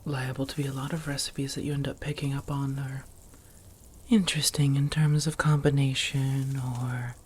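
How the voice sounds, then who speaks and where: A soft-spoken woman acting an affectionate, refined female vampire roommate in an ASMR roleplay, often near a whisper, with formal phrasing and coy pet names. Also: extremely low pitch, little pitch movement, moderate pace, slight background noise. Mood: warm, impressed.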